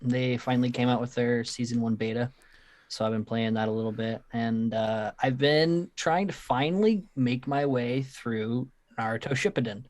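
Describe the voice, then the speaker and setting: A young man joins a casual podcast as co-host, chatting easily and hesitating now and then. His voice sits at a middle pitch. The recording is dry and close. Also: moderate pace, darkish tone, dry recording. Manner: easygoing and a little hesitant.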